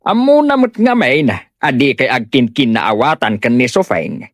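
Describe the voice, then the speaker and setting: Actor playing nagging, animated Ilocano village neighbour. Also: medium pitch, moderate pace, no echo, clean studio sound, natural voice. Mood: scolding. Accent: Filipino, Ilocano. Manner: questioning, nagging. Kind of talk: Ilocano audio comedy-drama acting.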